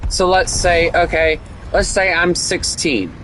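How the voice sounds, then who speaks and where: A man's voice: fairly high pitch, fairly even intonation, slow, fairly bright tone, soft background music, low-quality recording. A young man with a Southern US accent, chatting casually with viewers while gaming, rambling and opinionated with lots of fillers. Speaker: gaming streamer. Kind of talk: casual gameplay chat with viewers.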